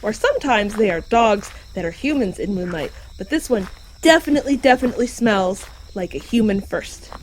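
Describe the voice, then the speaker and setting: A woman's voice: medium pitch, animated, moderate pace, a little hiss or hum, natural voice, low-quality recording. A woman voicing an easygoing shapeshifting dog creature who explains things matter-of-factly in an audio drama. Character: shapeshifting dog creature, easygoing, helpful park navigator and translator. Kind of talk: fiction podcast audio drama acting.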